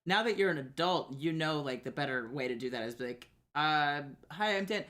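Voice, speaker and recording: A man's voice: on the high side, lively intonation, moderate pace, sharp tone. A man co-hosting a podcast, chatting casually and reading out listener questions. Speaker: podcast co-host.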